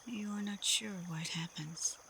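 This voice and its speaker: Casting: actress for female werewolf pack leader, ASMR roleplay; unhurried, hushed, assured, warmly teasing and protective, mid-pitched. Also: slight background noise, full character voice. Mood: teasing, intimate. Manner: soft, breathy, often whispered, unhurried. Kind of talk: slow ASMR roleplay addressed to the listener.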